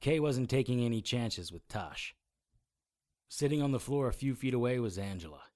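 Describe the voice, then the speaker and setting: A man acting a brisk, commanding occult adventurer in an audio drama, instructive and matter-of-fact. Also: mid-range voice, lively intonation, a little mellow, lightly acted. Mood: sober narrating. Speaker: lead actor and narrator. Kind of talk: audio drama acting and first-person narration.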